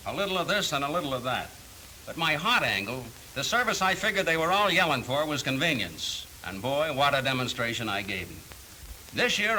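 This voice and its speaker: A man's voice: a little high, bright, forceful tone, exaggerated voice. An actor playing a hearty, confident veteran salesman in a 1930s training film, slangy and emphatic. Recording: hissy, noisy 1930s film soundtrack.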